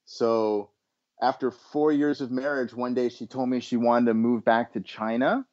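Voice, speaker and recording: A middle-aged American man from Los Angeles, answering interview questions as a consultant in a measured way with hesitant restarts. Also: mid-range voice, fairly even intonation, a little mellow. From Los Angeles, USA. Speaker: guest, education consultant. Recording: dull lo-fi sound.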